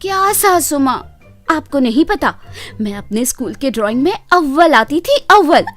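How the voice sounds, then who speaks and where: A woman voicing a foolish, eager young daughter-in-law in a cartoon moral story, wheedling and showy in an excitable way. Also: high voice, moderate pace, soft background music. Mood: boastful, eager. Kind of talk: animated Hindi moral story character voice.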